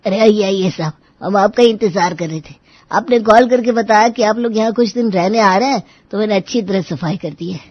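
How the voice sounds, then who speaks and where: A woman's voice: mid-range voice, a little fast, dry recording, muffled recording, lightly acted. A woman voicing a humble, apologetic older male caretaker in a cartoon story. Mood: welcoming.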